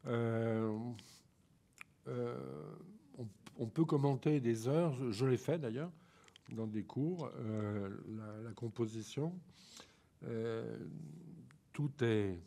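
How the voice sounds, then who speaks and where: A man with a bad head cold giving an informal lecture on a photograph, talking slowly with many ums and restarts. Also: mid-range voice, gravelly, dark tone.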